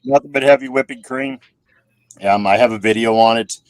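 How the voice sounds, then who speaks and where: Hoarse, laid-back man on a call, fast talker, dry sound. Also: mid-range voice, no room sound. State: slightly hoarse from a cold. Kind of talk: casual group chat.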